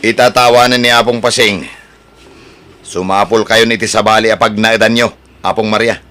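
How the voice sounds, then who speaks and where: A man playing a brash, freeloading grandson in an Ilocano radio drama, with an expressive, whiny delivery and an Ilocano accent. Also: lowish voice, normal speed. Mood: scheming. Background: background music.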